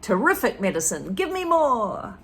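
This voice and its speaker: A woman's voice: a little high, sing-song, moderate pace, fairly bright tone. A woman voicing a wild, screechy old grandmother who shrieks with glee. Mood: gleeful. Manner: shrieking, gleeful.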